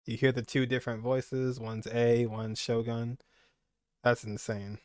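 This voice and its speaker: A man's voice: medium pitch, flat delivery, unhurried, darkish tone, faint music behind. A young man giving casual, rambling reaction commentary as a gaming streamer, repeating phrases for emphasis.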